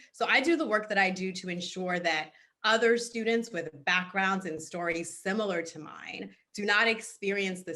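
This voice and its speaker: A woman's voice: lowish voice, sharp tone. A middle-aged woman, born in Haiti and raised in Boston, speaks earnestly and articulately as a nonprofit founder on a panel. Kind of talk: panel discussion answer.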